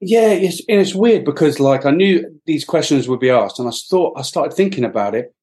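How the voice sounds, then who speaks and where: A man's voice: on the high side, expressive, moderate pace, darkish tone. A middle-aged man from Wales, a podcast guest, talking reflectively with many fillers and restarts. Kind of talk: reflective interview talk.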